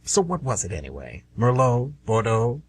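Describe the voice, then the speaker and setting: Male actor, scheming irritable Devil, amateur radio comedy, lively low delivery, hum and noise in the recording. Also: moderate pace. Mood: needling. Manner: irritable, sardonic, bargaining. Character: the Devil, anxious, aggrieved, scheming businessman.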